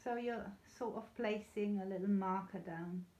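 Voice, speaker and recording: A woman leading a guided yoga nidra meditation in a soothing, unhurried, gently reassuring way. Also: mid-range voice. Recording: slightly dull, echoey room sound.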